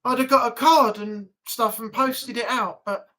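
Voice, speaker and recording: Brief: woman streamer, easygoing chatty delivery, fairly high pitch. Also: steady tone, normal speed, pushed and bright. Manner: chatty, rambling, with fillers. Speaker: livestream host. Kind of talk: casual live chat to viewers.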